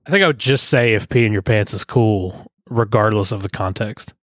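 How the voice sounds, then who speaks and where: A man's voice: lowish voice, no echo, clean studio sound. Joking laid-back male co-host. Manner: joking, quick asides, trailing off. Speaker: podcast co-host. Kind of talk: casual banter.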